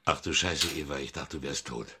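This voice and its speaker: A small-time male crook in a radio drama, with a deep, rough-edged husky voice. He is coarse, bitter and confrontational, forever accusing. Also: a little fast, bright, forceful tone, full character voice. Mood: stunned, grim.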